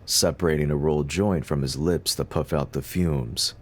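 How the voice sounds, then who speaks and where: A man's voice: very deep voice, fairly even intonation, normal speed. A man narrating a scripted story as the narrator of an audio drama.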